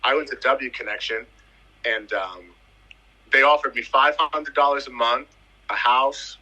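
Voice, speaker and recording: Bright husky-voiced man from the Virgin Islands. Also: mid-range voice, a little slow, rough recording. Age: 30s. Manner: animated, emphatic, repeats phrases for effect. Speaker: footballer guest. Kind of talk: casual interview storytelling.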